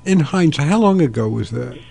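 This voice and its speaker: Genial older male radio host, gravelly. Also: on the high side, animated, brisk, mellow. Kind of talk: radio talk show.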